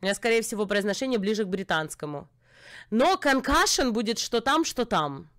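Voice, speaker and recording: A woman's voice: fairly high pitch, sing-song, a little fast, fairly bright tone. A young woman from Ukraine, streaming a chat roulette argument in a sarcastic, lecturing way. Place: dim room with purple light.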